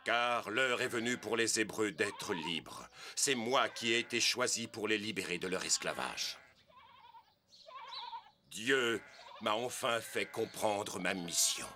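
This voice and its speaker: A man voicing a humble, earnest shepherd and prophet in an animated film, with music behind. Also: fairly low pitch, slow, a little hiss or hum, full character voice. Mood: resolved. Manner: earnest, awed. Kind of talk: animated film dub in French. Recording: loud musical score behind.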